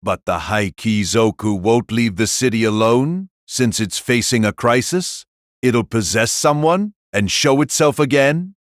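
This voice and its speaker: Man as composed, probing warrior, medium pitch, dry studio sound, drama dialogue. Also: a little slow, no echo, lightly acted. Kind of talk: audio drama character dialogue.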